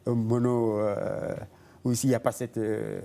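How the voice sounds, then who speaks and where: A man hosting an interview, talking haltingly with many fillers and frequent short agreements. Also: medium pitch, flat delivery, vocal fry, a loud crowd. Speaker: host.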